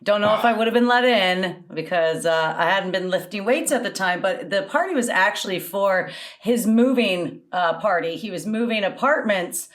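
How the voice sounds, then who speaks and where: A woman on a comedy game podcast, quick-witted and teasing as she improvises an answer. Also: medium pitch, lively intonation. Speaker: podcast contestant.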